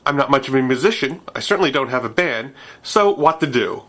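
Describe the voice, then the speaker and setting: A man's voice: medium pitch, expressive, fairly quick, fairly bright tone. A man with a nasal voice narrating a tutorial video in a wry, self-deprecating, joking way. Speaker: video creator.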